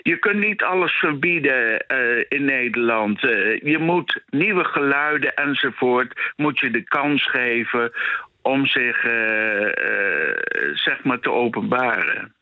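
A man's voice: medium pitch, lively intonation, slow, no echo. Man on a tinny phone line. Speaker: caller to a radio show. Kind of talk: opinionated phone-in talk. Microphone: telephone.

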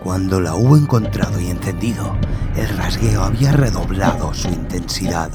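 Male narrator for horror audio fiction: deep, unhurried and suspenseful, over prominent music. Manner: measured, suspenseful, with dramatic pauses. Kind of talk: dramatic scripted horror narration. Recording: loud music under the voice. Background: loud music bed.

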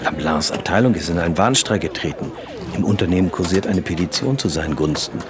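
An actor playing a serious, concerned company employee in a radio drama, grave and measured. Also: male voice, sits low, background noise, natural voice. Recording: lively crowd chatter behind. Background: café chatter.

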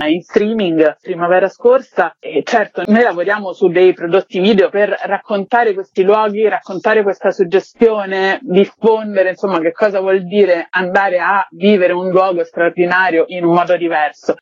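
A woman's voice: mid-range voice, darkish tone, no room sound. A woman being interviewed on the radio as a festival organiser, talking in an enthusiastic, expansive, informal way. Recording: dull, lo-fi audio.

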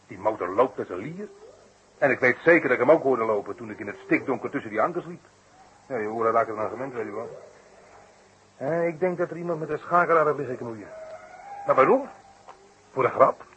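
An actor as a folksy circus electrician, faint hiss. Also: male voice, medium pitch, expressive, brisk, pauses often, fairly bright tone, dull audio, close to an everyday voice. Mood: puzzled. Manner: colloquial, folksy, wordy. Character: chatty, down-to-earth circus electrician, jokingly grumbling. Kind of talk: 1960s Dutch radio drama acting. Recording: faintly noisy 1960s radio recording.

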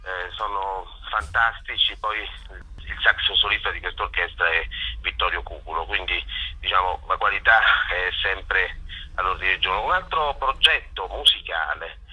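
Bright, husky low-voiced man, enthusiastic. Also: normal speed, rough recording. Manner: enthusiastic, effusive, hesitant with frequent self-corrections. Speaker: festival director being interviewed. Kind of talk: informal promotional interview, speaking off the cuff.

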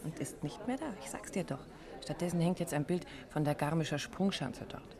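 An actress playing the detective's playful, curious wife in a 1950s radio drama, asking quick questions. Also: female voice, low voice, crowd noise, close to an everyday voice.

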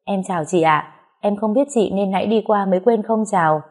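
A woman's voice: mid-range voice, fairly quick, close to an everyday voice. Cornered orphan girl, young adult, for audio story, voiced by a woman narrator: desperate, protesting, standing her ground. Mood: timid, pleading. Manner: pleading, then shouting defiant threats.

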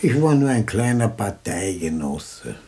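An actor playing a plain-spoken, evasive neighbour in a German radio play, talking in a matter-of-fact, colloquial way. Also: male voice, on the low side, big pitch swings, measured pace, a little mellow, husky, exaggerated voice. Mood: dry, reporting.

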